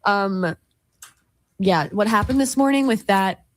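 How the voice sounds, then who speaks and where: A woman's voice: mid-range voice, a little slow, no room sound, clean studio sound. A young woman co-hosting a college radio morning show, chatting casually with lots of laughs, fillers and restarts. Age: young adult. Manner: giggly, rambling, lots of likes and restarts. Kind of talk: casual chat.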